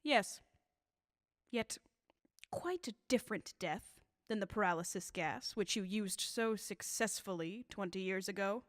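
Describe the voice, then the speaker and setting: A woman playing an embittered elderly scientist in a radio drama, measured, calm and dryly sardonic. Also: medium pitch, dry recording, clean studio sound, natural voice. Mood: dry, bitter. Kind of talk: 1930s-style radio drama acting.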